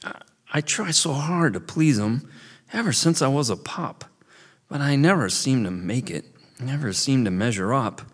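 A man's voice: a little high, very animated, unhurried, few pauses, warm tone, slightly rough, pitched above the performer's own voice, heavily acted. A man voicing a frightened young male beaver who stammers and pleads. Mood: tearful. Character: scared young male beaver, eager to please.

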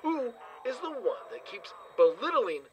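A man's voice: very high voice, big pitch swings, a little slow, slight background noise, heavily acted. An actor in an audio drama playing an indignant, hot-headed crewman.

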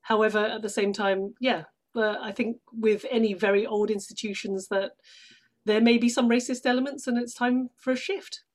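A woman from London, chatting casually as a guest in a measured, thoughtful way. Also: a little high, steady tone. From London, England. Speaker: interview guest, actress. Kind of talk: casual chat.

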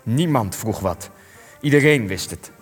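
Wry old war veteran, echoing hall. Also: male voice, medium pitch, lively intonation, unhurried, husky. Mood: bitter, reminiscing. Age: young adult. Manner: formal, dryly ironic, hesitant. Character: old male resistance veteran, wry, evasive, world-weary. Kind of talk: Dutch-language radio play acting. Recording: long echoing hall, music underneath.

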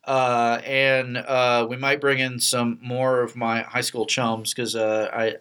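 A middle-aged man from Texas with an American accent hosts a casual behind-the-scenes chat, telling stories in a halting, rambling way. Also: medium pitch, little pitch movement, fairly bright tone, smooth. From Texas, United States. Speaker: podcast host and radio play producer.

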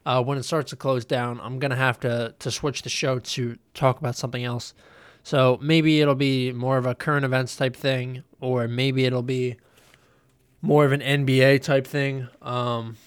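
Young male host, nasal head cold, rambling, middle-pitched, dry close recording. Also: normal speed, clear, resonant tone, dry recording. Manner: rambling, relaxed, with many ums and uhs. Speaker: podcast host. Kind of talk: casual solo podcast chat.

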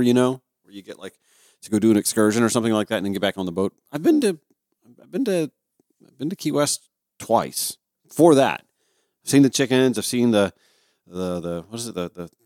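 A man's voice: on the low side, darkish tone, no room sound, studio quality. A man from Georgia with an American accent, hosting a talk radio show in an emphatic, informal, conversational monologue. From Georgia, United States.

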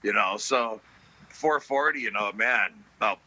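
A middle-aged man with a lowish, husky voice and a very bright, sharp edge, telling stories in a relaxed way. He leans on fillers as he talks. The sound is dry and close. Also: unhurried, dry recording, low-quality recording. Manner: relaxed storytelling, many fillers. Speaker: blues musician guest. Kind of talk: casual interview chat.

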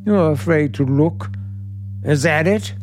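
An actor playing a menacing, obsessed hotel owner in 1940s radio suspense style, brooding, sinister and deliberate. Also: male voice, a little high, darkish tone. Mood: cold menace. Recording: old radio drama with music behind.

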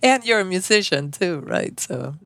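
An interviewer asking warm, appreciative questions in easy conversation. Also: mid-range voice, sing-song, moderate pace, no room sound, studio quality.

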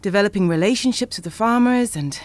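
A woman playing a playful, flirty and ambitious chocolatier in an audio drama, teasing and expressive. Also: medium pitch, fast, no room sound, natural voice. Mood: excited, proud.

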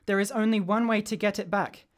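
A man's voice: high voice, fairly even intonation, fairly quick, close to an everyday voice. A man playing an earnest, adventurous schoolboy inventor in a radio drama, speaking in declarations. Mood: determined.

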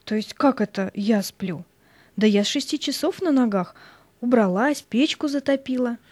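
A woman's voice: a little high, normal speed, a little mellow, no echo, natural voice. A woman playing a fussy, practical, anxious mother in an audio fairy-tale play, with a scolding manner. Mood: fond scolding.

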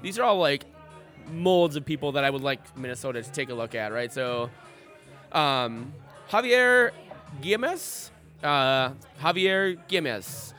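A man's voice: a little high, lively intonation, a little slow, pushed and bright, faint music behind. A man co-hosting a sports podcast, rambling casually with lots of fillers, restarts and swearing. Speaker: podcast co-host.

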